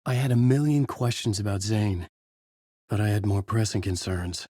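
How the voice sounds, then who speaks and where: An actor playing a haunted, brooding writer trapped in a nightmare, in an intense, dramatic horror game delivery with a husky edge. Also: male voice, on the low side, moderate pace, mellow, no echo, clean studio sound. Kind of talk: psychological horror game dialogue and brooding narration.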